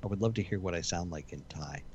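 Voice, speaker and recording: A man with a low voice co-hosts a comedy podcast. He cracks jokes and jumps in with quick reactions. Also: a little mellow, slightly rough, no echo, dull audio. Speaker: podcast co-host. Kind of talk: casual comedy chat.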